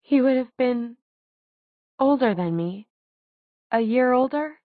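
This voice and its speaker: A woman's voice: high voice, animated, moderate pace, no room sound, narrow, tinny audio, voice pushed up. A woman voicing an upset, sarcastic teenage girl in an audiobook, emphatic and incredulous in her delivery. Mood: grieving, wistful.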